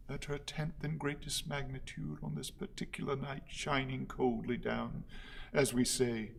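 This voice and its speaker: A man playing a servile, pompous orator in a radio play, declaiming poetic lines in halting, repeated phrases. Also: on the high side, vocal fry, a little hiss or hum, low-quality recording. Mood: solemn oratory. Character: servile, pompous male orator pleading with his lord. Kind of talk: theatrical radio play recitation.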